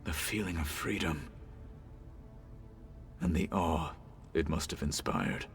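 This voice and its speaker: Deep gravelly stoic warrior. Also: male voice, soft background music, exaggerated voice. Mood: reverent. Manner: formal, measured, solemn speech. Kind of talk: dramatic video game acting.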